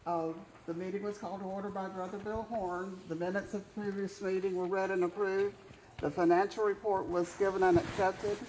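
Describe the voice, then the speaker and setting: A woman of middle pitch reads meeting minutes aloud in a brisk, matter-of-fact manner. A faint background noise sits under her voice in a small church. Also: little pitch movement, darkish tone, rough recording.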